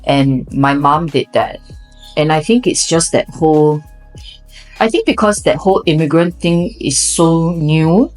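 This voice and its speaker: A young woman from Singapore with a Singaporean English accent, chatting in a relaxed, self-deprecating way on a podcast. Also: deep voice, measured pace, soft background music, low-quality recording. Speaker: podcast co-host. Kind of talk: casual podcast chat.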